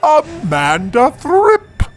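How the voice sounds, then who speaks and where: A menacing woman headmistress, played by a storyteller. She is domineering and barking, stretching out her interrogation with lively swings in pitch. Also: drawn-out words, faint music behind, heavily acted. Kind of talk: comic audio storytelling.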